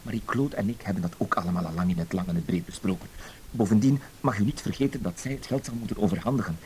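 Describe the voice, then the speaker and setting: An actor playing a formal, composed, aggrieved husband in a radio drama. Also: male voice, lowish voice, fast talker, warm tone, lightly acted. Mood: reproachful, resigned. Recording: hissy old radio play recording.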